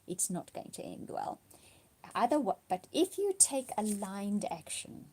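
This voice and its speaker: A woman with a cold and a partly lost voice, giving a weekly tarot card reading, soft and slipping into whispers at times. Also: mid-range voice, sing-song, measured pace, breathy edge.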